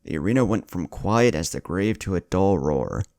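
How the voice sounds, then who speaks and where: A man's voice: sits low, expressive, normal speed, dark tone, no room sound, studio quality. A man reading a dramatic scripted story as its narrator.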